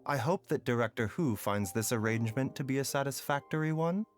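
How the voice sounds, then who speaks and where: A man plays an enigmatic game doctor with a mid-pitched voice that moves expressively as he speaks. His delivery is formal, polite and carefully measured, as if he were explaining a diagnosis. Light music sits faintly underneath. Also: expressive, natural voice. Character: calm, enigmatic male doctor, courteous and measured. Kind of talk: video game voice acting.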